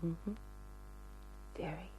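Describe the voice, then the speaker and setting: Glamorous model in trouble, breathy n pleading, very dramatic old radio crime show, bit of music. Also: female voice, drawn-out words, dark tone, rough recording. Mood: seductive. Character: seductive, desperate glamorous female fashion model.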